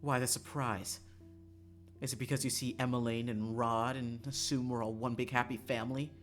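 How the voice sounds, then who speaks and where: Streamer acting soft, gentle young man. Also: medium pitch, little pitch movement, moderate pace, faint music behind, close to an everyday voice. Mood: curious.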